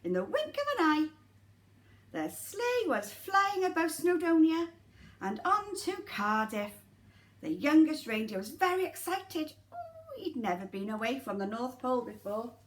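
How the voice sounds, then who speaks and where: A woman tells a nursery story in a high voice. Her delivery is very animated and dramatic, and she often turns to chat directly to the children. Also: a little slow. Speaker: nursery teacher reading a story. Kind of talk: expressive children's storytelling with asides to listeners.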